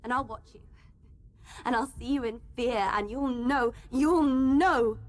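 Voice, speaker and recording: Woman acting a high-voiced, defiant female captive. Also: unhurried, close to an everyday voice. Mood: menacing. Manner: insistent, repetitive. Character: captive rich man's daughter, defiant, vengeful, threatening. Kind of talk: radio play acting.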